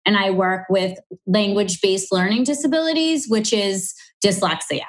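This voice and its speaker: A woman's voice: medium pitch, normal speed. A woman in her forties with a strong Boston accent, telling her story in a casual chat as a podcast guest. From Boston, USA. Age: 40s. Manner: chatty, storytelling.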